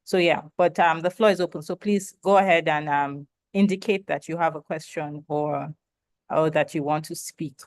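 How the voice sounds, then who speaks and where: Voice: mid-range voice, moderate pace, dry recording. A webinar moderator opening the floor and passing questions to presenters over a video call, speaking hesitantly with frequent ums and restarts.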